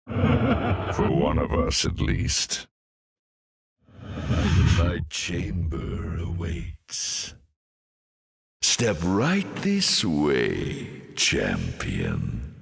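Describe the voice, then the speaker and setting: A very low, swooping voice for a sardonic demon lord in a video game. He is urbane and theatrical, toying with the listener in mocking flourishes. The sound rings with long, cavernous reverb. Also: gravelly, a bit bright, full character voice. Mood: sinister invitation. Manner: urbane, mocking, drawn-out delivery with dramatic flourishes.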